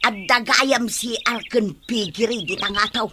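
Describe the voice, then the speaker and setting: A woman's voice: fairly high pitch, measured pace, background noise, lightly acted. An actress with an Ilocano Filipino accent playing a hesitant village woman in a comedy radio drama. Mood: animated.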